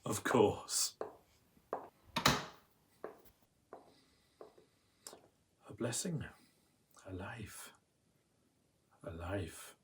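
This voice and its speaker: A man playing a kind, convalescent gentleman in a radio play, speaking softly and with sympathy. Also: lowish voice, very slow, noisy background. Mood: sympathetic, subdued.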